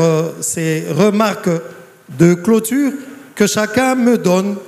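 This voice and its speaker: A man's voice: high-pitched, a little mellow. A man moderating a conference panel in a formal, ceremonious register. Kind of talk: formal live event moderation. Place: large conference hall. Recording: reverberant conference hall.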